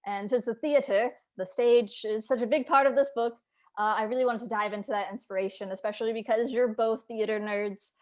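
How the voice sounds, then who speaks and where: A woman's voice: a little high, fairly even intonation, fairly quick. A woman hosting an interview in a conversational style, asking questions as an editor who talks with authors. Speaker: host, developmental editor.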